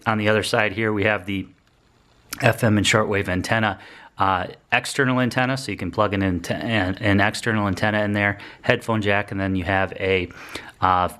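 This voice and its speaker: A man's voice: fairly low pitch, steady tone, moderate pace, bright, forceful tone. A man explaining and demonstrating a product in a plainspoken, informal review style.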